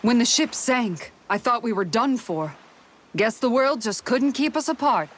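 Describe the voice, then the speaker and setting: A woman's voice: a little high, lively intonation, a little slow, a bit bright, slight background noise. An actress playing a teasing, protective young woman in an anime-style video game. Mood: relieved.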